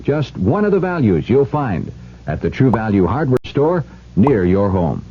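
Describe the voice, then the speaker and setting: A man delivering a folksy sales pitch as spokesman in a vintage radio commercial. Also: very animated, measured pace, slightly rough. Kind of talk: 1970s radio ad read. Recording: muffled old radio with faint noise.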